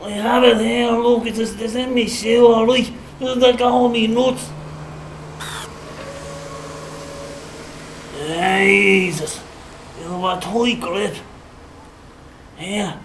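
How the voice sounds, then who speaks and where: An actor plays a cowardly, evasive street chancer with a Dublin accent. The speech is slow, colloquial and wheedling, as in a dramatic sketch. It sounds echoey, with clear noise around it. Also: medium pitch, close to an everyday voice. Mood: pleading. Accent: Irish, Dublin. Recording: echoey, noisy recording with ambience.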